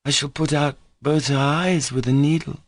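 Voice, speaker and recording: A man voicing an emotional, drunken young woman who pleads in a halting, breathless way. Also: fairly high pitch, steady tone, dry recording, voice pushed up, natural voice. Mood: impassioned.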